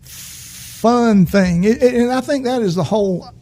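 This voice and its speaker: A man hosting a casual interview, gravelly and husky, relaxed and quick to laugh. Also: very high-pitched. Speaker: host.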